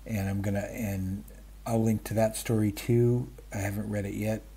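A man in his fifties or older chatting casually about stories, halting and interrupting himself in a self-deprecating way, with a husky voice. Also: on the low side, flat delivery, warm tone. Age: 50s or older. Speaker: book vlogger. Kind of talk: casual rambling chat about stories and radio. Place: small room at home, indoors. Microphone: webcam framing, wearing wired earphones.

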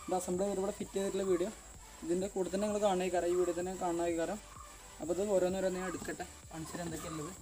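A man's voice: high voice, poor audio. Young man chatting through a gym bench assembly. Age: young adult. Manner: chatty, explains step by step while assembling. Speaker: fitness vlogger. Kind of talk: casual how-to commentary. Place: outdoor garden yard among banana trees. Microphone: camera on tripod at a distance.

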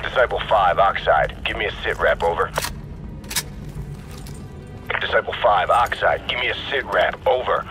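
An actor playing a brisk, businesslike military radio operator, clipped and procedural through a radio filter. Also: male voice, on the low side, music in the background. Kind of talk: military radio traffic in a game. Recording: noisy military radio transmission.

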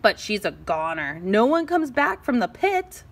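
A woman voicing a blunt, scornful pair of scissors in a storybook, sharp-tongued and dismissive. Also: on the high side, very animated, a little slow, exaggerated voice.

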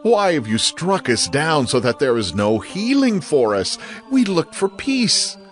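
A man's voice: on the high side, very animated, unhurried. A man playing a distraught petitioner questioning God in a dramatized scripture reading, plaintive and emotive. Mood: anguished questioning. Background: music bed.

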